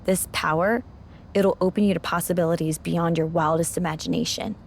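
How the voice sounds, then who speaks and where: A seasoned female wrestling champion in a video game, guarding dangerous secrets. She speaks gravely and cautiously in a slightly low voice, with faint noise in the background. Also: steady tone, brisk. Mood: solemn. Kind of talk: cinematic game dialogue.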